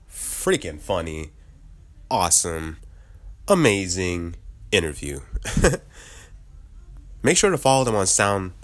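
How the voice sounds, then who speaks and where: Chatty laughing podcast host, lowish voice. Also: male voice. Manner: upbeat, chatty, laughs often. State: slightly sick, voice a bit lower. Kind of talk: casual podcast outro.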